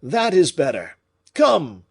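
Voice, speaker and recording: A man reading an audiobook, voicing a proud, agitated French nobleman who gives sharp commands. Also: a little high, huge pitch swings, slow, gravelly, breathy edge, voice pushed up, heavily acted. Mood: commanding. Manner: imperious, exclamatory.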